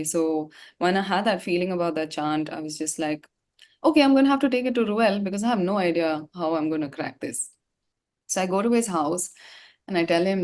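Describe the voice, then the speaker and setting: A woman with an Indian English accent telling a personal story reflectively in an interview, often pausing and repeating words as she searches for the right one. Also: fairly low pitch, darkish tone. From India (Gangtok, Sikkim). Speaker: singer, interview guest.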